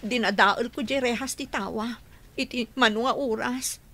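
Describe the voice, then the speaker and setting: A woman's voice: on the high side, moderate pace, sharp tone, faint music behind. A woman playing an aggrieved, insistent woman in a radio drama, pleading and emphatic in her delivery. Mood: urgent, accusing. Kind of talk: radio drama acting in Ilocano.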